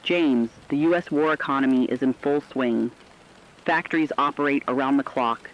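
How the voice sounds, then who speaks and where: A man's voice: mid-range voice, moderate pace, close to an everyday voice. A man playing a radio war correspondent, delivering a scripted dramatic news report in a grave, formal, emphatic way. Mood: grave, resolute. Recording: dull lo-fi radio sound, faint rain.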